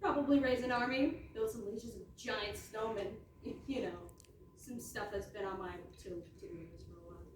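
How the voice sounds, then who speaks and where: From a roomy stage, off-mic, comes a woman playing a young ice princess, petty and power-hungry. Her high voice moves between grand villainy and sheepish deadpan. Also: normal speed, natural voice. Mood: scheming, petty. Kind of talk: comic stage readers theatre. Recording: roomy, distant stage recording, faint noise.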